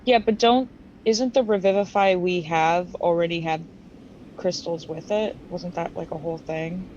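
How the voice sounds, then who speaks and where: A woman's voice: lowish voice, steady tone, moderate pace, a little mellow. A woman chatting casually while playing a tabletop game. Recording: dull, slightly noisy audio.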